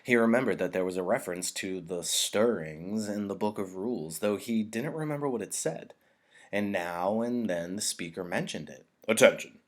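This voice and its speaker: A man with a low, deep voice reads a story aloud like a teacher. He delivers scripted narration from a novel for listeners following along. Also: moderate pace.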